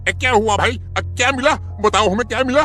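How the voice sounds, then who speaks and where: Man as a jittery villager, very high pitch, sharp bright tone, stammering, agitated, horror cartoon. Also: a little fast, faint music behind. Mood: alarmed, curious. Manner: stammering, repeats words, agitated. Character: excitable, nervous, alarmed village man. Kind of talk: animated horror story acting.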